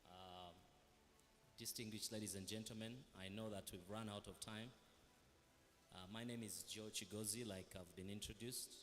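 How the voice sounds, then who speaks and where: A man on a panel, speaking deliberately with rhetorical questions. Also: fairly low pitch, steady tone, a bit bright. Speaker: media executive panelist. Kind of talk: panel remarks. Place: large hall. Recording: reverberant hall.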